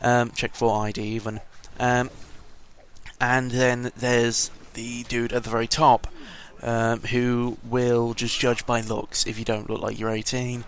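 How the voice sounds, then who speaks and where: A man's voice: mid-range voice, monotone, measured pace, clear, resonant tone. A seventeen-year-old British young man rambling casually through an audio log, hesitant and tangential with mild swearing, sounding a bit under the weather. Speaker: vlogger. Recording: noisy home microphone recording.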